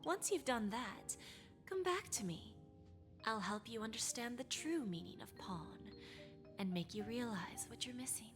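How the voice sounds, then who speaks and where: A woman's voice: fairly high pitch, expressive, measured pace. Poised game pawnbroker lady, silkily courteous and teasing, swooping intonation over music. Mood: silky persuasion. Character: poised, enigmatic lady pawnbroker striking bargains. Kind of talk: video game voice acting. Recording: music under the voice.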